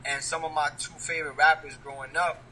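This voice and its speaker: A man's voice: a little high, level tone, brisk, bright, forceful tone, slight background noise, low-quality recording. A man, a rapper talking casually in an interview, leaning on street slang and asking "you get what I'm saying?". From New York.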